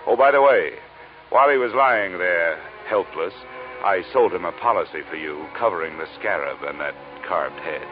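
Male radio detective: wry, clipped investigator-narrator, low voice, muffled vintage recording with prominent music. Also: animated, moderate pace. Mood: wry, matter-of-fact. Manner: wry, clipped, dry-humored. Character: wry, hard-boiled male insurance investigator narrating his case. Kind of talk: 1940s radio acting, hard-boiled first-person narration.

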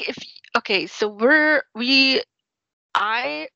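A woman's voice: sits high, extremely slow, gravelly, bright, forceful tone, no echo. A woman with a New York accent, chatting casually about a book, emphatic and often stopping to rephrase herself. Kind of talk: casual chat. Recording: dull lo-fi sound.

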